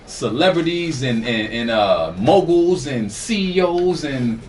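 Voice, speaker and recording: A man's voice: mid-range voice, animated, slow, far from the mic. A man co-hosting a casual podcast chat, laid-back, slangy and joking. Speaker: co-host. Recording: off-mic with faint room noise.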